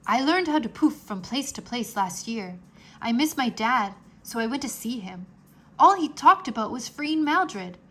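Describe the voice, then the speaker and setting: A woman voicing an earnest, wistful, determined young boy wizard in a children's storybook, speaking in a hurried, pleading way. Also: on the high side, a bit bright, pitched above the performer's own voice.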